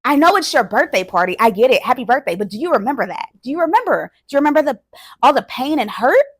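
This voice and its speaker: A woman's voice: sits high, very animated, fast, breathy edge, dry recording, clean studio sound, voice pushed up, full character voice. A woman voicing a relentless party guest who harps on painful memories with insistent, repetitive questions. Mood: mock-sympathetic, pressing.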